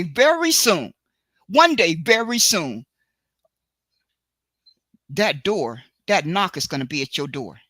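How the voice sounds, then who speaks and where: A bright, forceful voice from the United States delivers a preachy livestream monologue, repeating key phrases for emphasis. The delivery is colloquial and lecturing. It is recorded dry and close on a streaming mic. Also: medium pitch, no room sound. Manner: repetitive, preachy, hammers phrases home. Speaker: livestream host.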